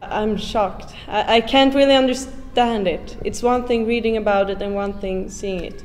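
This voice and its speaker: An eighteen-year-old woman from Sweden with a Swedish accent, talking eagerly in quick bursts as an exchange student in a casual group chat. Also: medium pitch. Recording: reverberant room, faint background noise.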